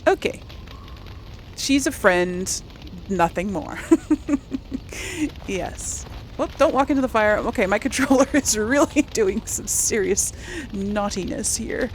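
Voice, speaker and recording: Slow-paced woman doing casual game commentary. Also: mid-range voice, animated, a little hiss or hum, rough recording. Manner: chatty, addresses viewers, giggly asides. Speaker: gaming video host. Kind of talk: casual let's play commentary.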